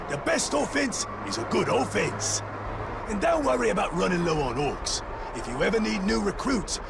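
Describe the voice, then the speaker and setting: An actor playing a comic, boastful orc follower in a video game, chatty and colloquial with a gravelly voice. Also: male voice, sits high, full character voice. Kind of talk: video game character acting. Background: music.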